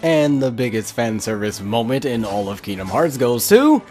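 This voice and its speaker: A man's voice: mid-range voice, very animated, moderate pace, a little mellow. A man hosting a let's play, giving casual commentary and thinking aloud as he goes. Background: faint game music.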